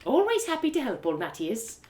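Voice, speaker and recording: A woman's voice: high voice, huge pitch swings, a little fast, a little mellow, pitched above the performer's own voice. A woman voicing a fussy village mother and healer with a folksy, exclamatory way of talking. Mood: chirpy.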